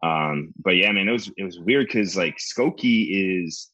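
A man's voice: sits low, unhurried, pushed and bright, dry recording. A young man from the Chicago area, a guest comedian, telling stories in a loose, digressive way full of "like". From Chicago area, USA. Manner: rambling, digressive storytelling with lots of "like". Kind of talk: casual podcast chat.

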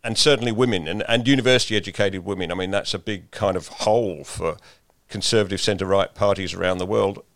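A man interviewing a guest, asking measured, deliberate questions and stumbling over his openings. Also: sits low, a bit bright, dry recording, studio quality. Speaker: interviewer and columnist.

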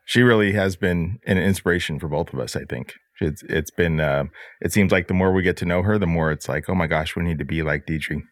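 A man's voice: sits low, brisk, dry recording, clean studio sound. A man co-hosting a podcast who mostly chimes in with brief agreeing remarks and laughs along. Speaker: podcast co-host. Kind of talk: casual podcast banter.

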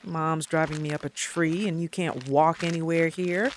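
An actress playing an exasperated, sarcastic grown daughter in a naturalistic audio drama. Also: female voice, low-pitched, steady tone, normal speed, lightly acted. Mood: complaining, frustrated. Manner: wry, emphatic, sarcastic. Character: exasperated, sarcastic grown daughter back in her creepy hometown. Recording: audio drama with faint background noise.

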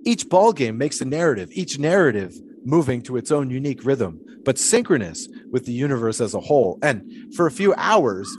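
A man's voice: mid-range voice, sing-song, normal speed, few pauses, a little hiss or hum, natural voice. An actor playing a grandiloquent, philosophical 1920s showman in an audio drama, musing in wordy, theatrical speeches. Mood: wistful, musing.

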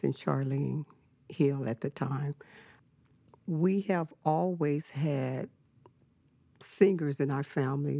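An older woman from California, a guest with a low voice, slowly recalling her life in a reflective interview with many pauses. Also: vocal fry, dark tone, dry recording. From California, United States. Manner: slow, reflective, with frequent pauses and fillers. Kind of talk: personal storytelling in an interview.

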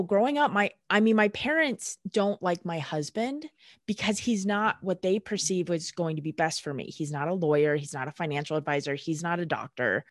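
A woman's voice: fairly low pitch, no echo, clean studio sound. A woman guest speaking candidly in casual conversation, blunt and emphatic, swearing freely. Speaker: podcast guest.

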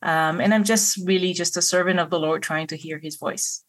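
A middle-aged woman, guest on a podcast. She speaks earnestly in a slightly low voice, often pausing with hesitant fillers. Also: fairly even intonation. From Israel. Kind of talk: podcast interview.

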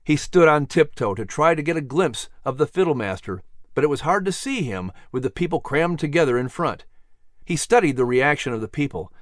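A man narrating a story in an animated, dramatic, expressive style. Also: a little high, brisk, no room sound, studio quality. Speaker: audiobook narrator.

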